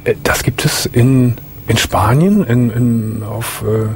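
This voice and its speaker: A man hosting a radio call-in show from a studio, calm and asking short follow-up questions. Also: mid-range voice, slow pace, slight background noise. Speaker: late-night call-in radio host. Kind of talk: relaxed call-in radio talk. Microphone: studio microphone.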